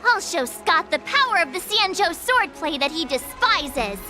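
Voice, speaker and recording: An eager teenage girl training as a hero in an anime-style game. Her voice is extremely high and lively, with unhurried, drawn-out phrasing. She is perky and quick to cheer herself on. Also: soft background music, exaggerated voice. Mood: confident. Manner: perky, self-encouraging, exclaiming. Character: bubbly, eager teenage girl swordplay student and aspiring hero.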